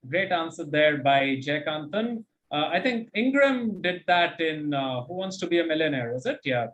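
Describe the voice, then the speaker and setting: Guy hosting a quiz, bit high voiced, really animated, explains stuff clearly and cheers people on. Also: normal speed, lo-fi sound. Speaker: quizmaster and host. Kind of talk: reading quiz questions and commenting on answers.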